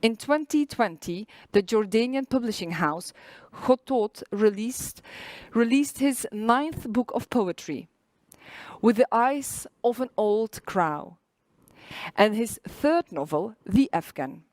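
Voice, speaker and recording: A woman from Poland presenting a poet at a festival, reading a prepared introduction in an even, measured way. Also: a little high, no room sound, poor audio.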